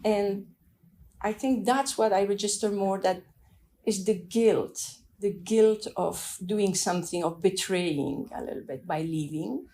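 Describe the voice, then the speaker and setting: A middle-aged woman from Afghanistan with a French accent, thoughtfully telling her own story of migration with pauses and restarts. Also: medium pitch. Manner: thoughtful, soft-spoken, pauses and restarts while recalling. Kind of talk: reflective personal storytelling.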